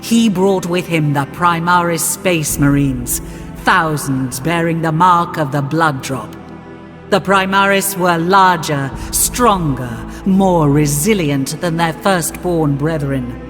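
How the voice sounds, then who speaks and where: A woman playing a solemn chronicler, narrating an epic game cutscene in a grave, deliberate manner. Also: sits low. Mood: solemn, portentous. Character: solemn storyteller chronicling a grim far-future war. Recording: reverberant hall, loud music behind.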